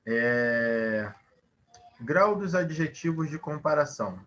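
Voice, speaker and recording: A man's voice: a little high, animated, slow, a bit bright. Man teaching grammar with filler words. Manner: explanatory, many fillers, rhetorical questions. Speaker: Portuguese teacher. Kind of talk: online grammar lesson.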